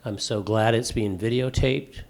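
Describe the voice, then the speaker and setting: A middle-aged man, a faculty member speaking from the floor, gives loud, emphatic and heartfelt thanks to a panel. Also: fairly low pitch, fairly even intonation, measured pace. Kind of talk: impromptu remarks from the floor.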